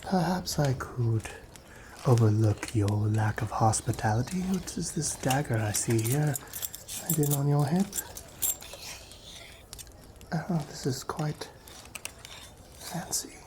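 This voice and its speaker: A man playing a corrupt, wheedling town guard in a fantasy ASMR roleplay, speaking softly and often dropping to a whisper, insinuating and mock-polite. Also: mid-range voice, lively intonation, slow pace, pauses often, noisy background.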